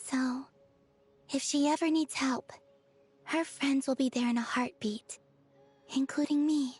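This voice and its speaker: An actress voicing a gentle, dreamy young woman who speaks in a soft, breathy near-whisper. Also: high voice, steady tone, a little slow, faint music behind. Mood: tender, earnest. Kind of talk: game voice acting.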